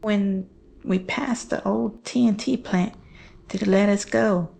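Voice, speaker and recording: A woman playing a haunted daughter recounting a horror story in hushed, halting, measured phrases. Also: medium pitch, steady tone, gravelly. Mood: haunted, dread. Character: Jane, haunted woman recounting her family's monster encounter. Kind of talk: slow scripted horror storytelling.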